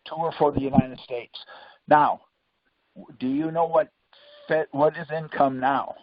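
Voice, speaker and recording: Man, fairly high husky voice, insistent teacher, question-and-answer coaching, lo-fi conference call. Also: fairly even intonation, measured pace, dry recording. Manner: insistent, quizzing, Socratic. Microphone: conference phone call. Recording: muffled, lo-fi conference call audio.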